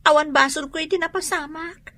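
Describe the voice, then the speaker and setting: A woman's voice: high-pitched, fairly even intonation, brisk, sharp tone, no echo. A woman playing a meek, apologetic mother who pleads in a radio drama. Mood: meek, pleading.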